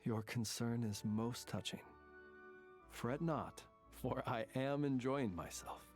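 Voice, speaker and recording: An actor playing a courteous, solemn gentleman in a video game, speaking in formal, ornate and measured phrases. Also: mid-range voice, close to an everyday voice. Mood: courteous, gracious. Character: courteous, solemn gentleman who lived alone in a cemetery. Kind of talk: scripted video game character dialogue. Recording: game music behind the voice.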